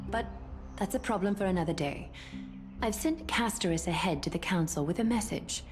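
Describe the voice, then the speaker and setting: An actress playing a regal, composed female ruler in a game, speaking formally and with measured authority. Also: on the low side, close to an everyday voice. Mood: grave. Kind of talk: dramatic game voice acting. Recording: music behind the voice.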